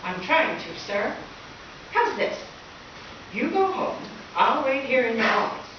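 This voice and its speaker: A woman's voice: a little high, very animated, measured pace. A woman playing a wry, exasperated schoolteacher with theatrical delivery in a comic live radio play. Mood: wry disbelief. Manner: dry sarcasm, theatrical alarm. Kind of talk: comic old-time radio play acting. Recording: echoey hall from far off, dull, hissy.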